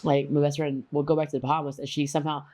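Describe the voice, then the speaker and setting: A woman's voice: deep voice, level tone, very fast, warm tone. A woman whose first language is Khmer, a guest telling stories in a casual chat and asking lots of questions. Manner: chatty storyteller, asks lots of questions. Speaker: podcast guest.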